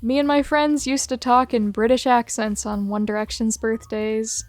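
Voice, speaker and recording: A woman hosting a video who reads out short fan confessions one after another in a brisk, matter-of-fact way. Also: on the high side, steady tone, no room sound, faint music behind. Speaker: host reading viewer submissions. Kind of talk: reading aloud a list of fan confessions.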